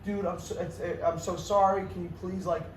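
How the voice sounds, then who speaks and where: A young man from the New York City area, a guest comedian, telling a story in casual chat, rambling and excitable with lots of restarts. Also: sits high, fairly quick. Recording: echoey room, mic at a distance, faint noise.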